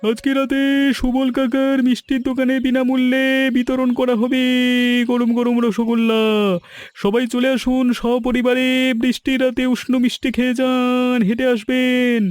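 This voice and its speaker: An actor voicing an eager village announcer, making a public call to everyone in a cartoon. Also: male voice, extremely high pitch, monotone, normal speed, a little mellow. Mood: eager, inviting. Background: background music.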